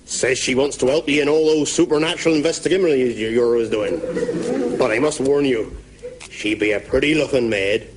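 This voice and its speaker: A man's voice: medium pitch, brisk, a little hiss or hum, close to an everyday voice, low-quality recording. An actor playing a superstitious Cornish countryman in a radio comedy, rustic and portentous, with a Cornish accent. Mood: solemn, folksy. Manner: rustic, portentous, dialect grammar. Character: superstitious Cornish countryman, full of muddled folk sayings.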